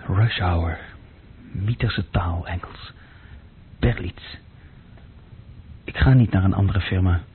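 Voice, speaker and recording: A restless young office clerk thinking aloud in a radio play. His voice is lowish and very husky, with dry, clipped, self-mocking phrases. The old recording is thin, like a phone line. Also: slow, pauses often, full character voice. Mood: musing, self-mocking. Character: young male bookkeeping group leader, self-critical, sardonic, restless dreamer. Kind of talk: radio play inner monologue.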